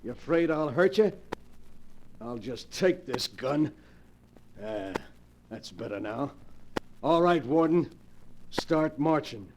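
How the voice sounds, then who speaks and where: A man's voice: on the high side, lively intonation, takes it slowly, long pauses, a little mellow. An actor playing an arrogant, menacing gangster boss in 1940s radio crime melodrama, with tough-guy slang and a commanding manner. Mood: smug, menacing. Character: arrogant, menacing male gangster boasting he cannot be killed. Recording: noisy vintage 1940s radio recording.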